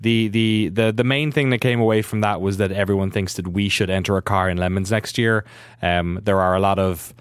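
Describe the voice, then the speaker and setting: An Irish man co-hosting a podcast, chatting casually with jokes and quick laughs. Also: fairly low pitch, fairly even intonation, smooth, dry recording. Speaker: podcast co-host. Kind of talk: casual chat.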